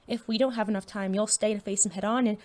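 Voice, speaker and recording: A young female superhero sidekick acted by a woman tells her story in an audio drama. She speaks at a middling pitch, nervous yet eager. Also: fairly even intonation, quick pace, darkish tone, natural voice. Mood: focused, unsure. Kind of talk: audio drama dialogue and first-person narration.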